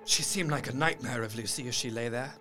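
A man's voice: mid-range voice, a little fast, sharp tone, soft background music, close to an everyday voice. A man playing an earnest diarist recounting horrors in a gothic audio drama, with formal, intense, literary narration. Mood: grim, sombre.

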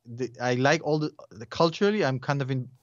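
A man in his forties with a thick Russian accent, a podcast guest talking in a rambling, animated way and laughing often. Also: mid-range voice, a little fast, no room sound, muffled recording. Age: 40s. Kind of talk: casual interview chat.

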